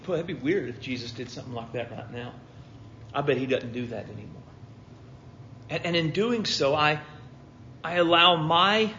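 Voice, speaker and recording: A man's voice: on the high side, fairly bright tone, muffled recording, a little hiss or hum. An American man preaching a sermon in a conversational way, repeating phrases for emphasis. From United States.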